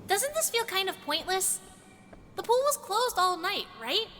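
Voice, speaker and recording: A woman voicing a skeptical, squeaky young girl in an animated murder mystery game. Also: measured pace, big-room sound, slight background noise, exaggerated voice.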